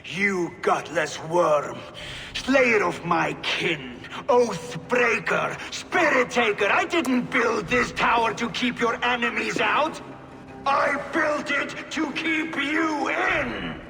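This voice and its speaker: Bitter giant, high, forceful voice. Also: male voice, expressive, gravelly, full character voice. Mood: vengeful fury. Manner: scornful, deliberate. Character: bitter, sardonic male giant builder. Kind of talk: video game cutscene acting. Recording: long hall reverb with faint music.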